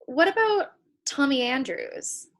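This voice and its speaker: A woman's voice: high voice, lively intonation, slow pace, fairly bright tone, full character voice. A woman playing an idealistic, stubborn young girl in a comedy play reading, emphatic and indignant. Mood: skeptical. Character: idealistic, stubborn young girl meeting her future selves. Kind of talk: comedy play read aloud.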